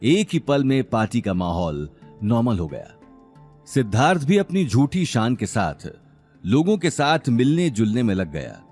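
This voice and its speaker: A man's voice: mid-range voice, very animated, measured pace, a little mellow, husky, faint music behind. A man narrating a scripted audio drama story in a dramatic style.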